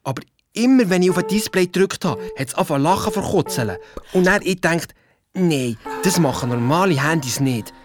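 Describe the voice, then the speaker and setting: A lively schoolchild detective played by an actor tells their adventures in a children's radio play. The speech is colloquial Swiss German-accented, with quoted lines acted out. Music plays clearly behind the voice. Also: medium pitch, fairly quick, close to an everyday voice. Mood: amazed, confiding.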